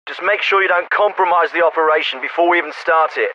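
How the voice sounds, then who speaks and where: A man playing a brisk, stern special forces captain in a video game, giving clipped, authoritative orders with dry sarcasm, sometimes through a radio filter. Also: fairly high pitch, animated, a bit bright, dull audio. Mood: terse, focused. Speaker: military squad commander. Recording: radio-filtered, band-limited clips with faint static.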